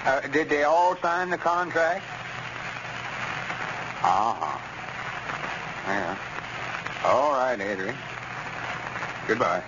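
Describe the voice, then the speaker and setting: An actor playing a stubborn old country storekeeper with a rural Southern US accent, folksy and drawling, in a 1930s radio comedy. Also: male voice, medium pitch, very slow, pauses often, over a noisy crowd. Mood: dejected. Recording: muffled, noisy old radio recording.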